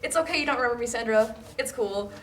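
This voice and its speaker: Woman playing fast-talking brazen college girl. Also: on the high side, a bit bright, lightly acted. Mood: mock sweet. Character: brazen, passive-aggressive female transfer student. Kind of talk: amateur table read of drama script. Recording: faint noise, amateur room recording.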